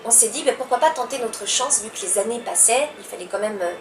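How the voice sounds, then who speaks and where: A woman's voice: on the high side, expressive, quick pace. A young woman, a dancer answering interview questions hesitantly, with many fillers and restarts. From Italy. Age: young adult. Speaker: professional dancer, interview guest. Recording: roomy distant mic with background noise.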